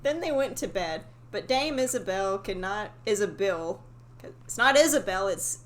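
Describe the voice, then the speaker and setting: A woman's voice: medium pitch, lively intonation, moderate pace. A woman reading a fairy tale aloud, casually, with sweary asides of her own. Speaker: story reader. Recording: faint background noise.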